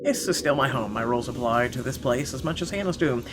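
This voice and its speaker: Deep-voiced man reading a horror story. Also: lively intonation, quick pace, noisy background. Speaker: gaming video host. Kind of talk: dramatic reading aloud of visual novel narration. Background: game music.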